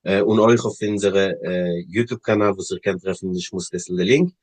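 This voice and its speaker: A man with a fairly low voice, recorded dry and close, hosts an informal interview. He speaks haltingly, searching for his words as he moves between questions and announcements. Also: darkish tone, no echo. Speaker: interviewer and event host. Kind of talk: informal online interview, hosting.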